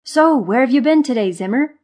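A woman's voice: mid-range voice, animated, a little slow, darkish tone, breathy edge. A woman voicing a cheerful, curious young male caterpillar in a children's story, with an eager, bubbly manner.

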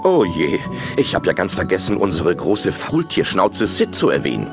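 A man's voice: sits low, very animated, moderate pace, music in the background. A man narrating a children's audio play in a theatrical, exclamatory storytelling style.

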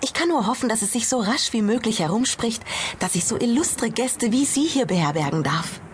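A woman's voice: on the high side, animated, a little fast, natural voice. A woman playing a proud, gossipy and worried hotel owner in a German audio drama, effusive and deferential. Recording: ambient noise behind the voice.

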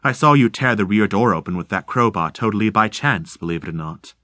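A man reading aloud, voicing a mysterious unseen stranger in the fog who speaks slowly and formally in a deep, insubstantial voice. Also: expressive, fairly bright tone, dry recording, studio quality. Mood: calm, menacing. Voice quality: deep and insubstantial, slow, measured.

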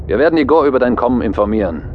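A man playing a businesslike official who briefs a pilot over a video link in an audio drama, speaking in a brisk, matter-of-fact way. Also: mid-range voice, animated, phone-quality sound, slight background noise, natural voice. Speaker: planetary commission official.

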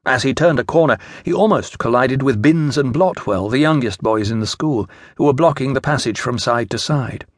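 A man's voice: medium pitch, normal speed, few pauses, dry recording, clean studio sound. A man with a British accent reading scripted narration from a children's story. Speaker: audiobook narrator.

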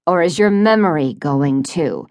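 A woman's voice: sits low, expressive, unhurried, darkish tone, no echo, clean studio sound. A woman voicing a wealthy, impatient grown son with a curt manner in an audiobook. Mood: angry. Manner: curt, exasperated.

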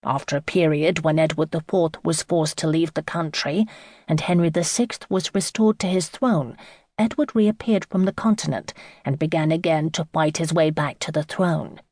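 A woman reading a scripted history audiobook in a measured, formal storytelling manner. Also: low-pitched, steady tone, darkish tone, no room sound, studio quality. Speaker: audiobook narrator.

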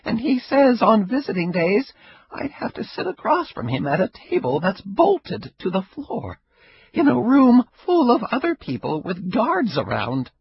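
A man voicing a frail, stubborn elderly grandmother with a sharp tongue, in a husky raised voice. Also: high-pitched, wildly swooping pitch, unhurried, a little hiss or hum, pitched above the performer's own voice, exaggerated voice. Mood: aggrieved. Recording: dull lo-fi recording.

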